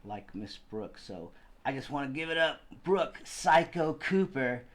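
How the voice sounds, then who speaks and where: A man's voice: medium pitch, animated, a little slow, fairly bright tone. A Thailand-born man, hosting a live video podcast with an enthusiastic, rambling, gushing way of talking. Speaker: podcast host. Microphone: video call.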